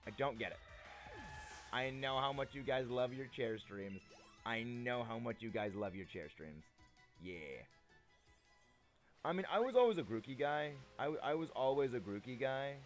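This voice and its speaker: Young male streamer, slightly gravelly, lively pitch, chatty and playful with chat. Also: normal speed, pauses often, faint music behind. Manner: playful, chatty, repeats himself, reads chat aloud. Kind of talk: casual live-stream chat with viewers.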